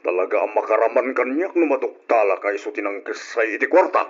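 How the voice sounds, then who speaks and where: An actor playing a stern, reproachful father in an old-style radio drama, speaking with husky emphasis. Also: lowish voice, animated, a little fast, muffled recording, over music, natural voice. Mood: angry accusing.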